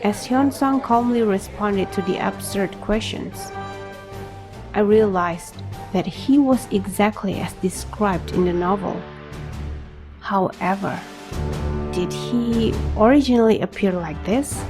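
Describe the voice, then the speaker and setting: A woman's voice: mid-range voice, slow pace, over music, a crowd in the background, lightly acted. A woman playing an introspective young reader, narrating his story in scripted first-person audio drama style. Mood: puzzled.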